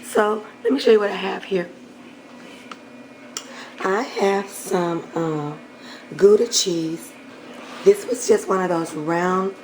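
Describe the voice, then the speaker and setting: Hoarse lady talking thru a recipe in her kitchen, kinda low and raspy, faint hiss behind. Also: a little mellow, rough recording. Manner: chatty, affectionate with viewers, clears throat often. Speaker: cooking channel host. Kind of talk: casual cooking vlog chat. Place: home kitchen.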